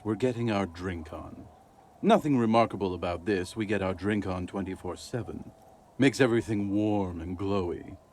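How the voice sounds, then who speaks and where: A man's voice: lowish voice, darkish tone, natural voice. A man playing a wry, philosophical drunkard in a video game, telling tales in a laid-back, mock-eloquent way. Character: wry, boozy male alcoholic storyteller, grandiose and philosophical. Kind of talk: video game character monologue.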